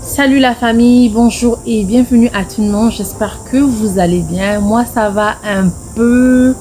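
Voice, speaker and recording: A woman's voice: on the high side, a little slow, warm tone, soft background music. A middle-aged woman with a Congolese French accent tells a personal story casually, repeating phrases for emphasis. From Congo-Brazzaville. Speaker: video storyteller.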